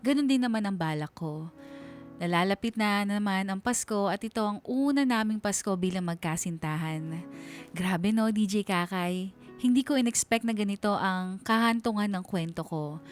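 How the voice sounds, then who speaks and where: Radio story narrator, played by an actress: young Filipino woman, gushing and conversational, medium pitch, music bed. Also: moderate pace, lightly acted. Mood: happy, grateful. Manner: chatty, gushing. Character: giddy, lovestruck young woman telling her story. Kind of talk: dramatized letter narration.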